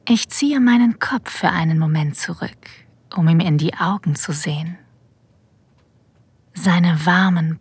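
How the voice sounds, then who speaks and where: A woman playing a sensual, confident boss in an erotic audio drama, narrating intimately in a breathy whisper, ASMR style. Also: fairly low pitch, no room sound, full character voice. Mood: sensual, secretive. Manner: slow, intimate, deliberate. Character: female boss having a secret affair, sensual and confident. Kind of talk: ASMR-like scripted first-person narration. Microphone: close, dry studio mic.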